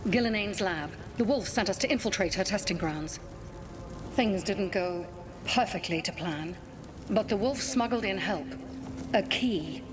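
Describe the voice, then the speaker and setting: A woman voicing a brisk, loyal elven rebel agent, battle-ready, in a video game. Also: mid-range voice, over music, natural voice. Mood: urgent, concerned.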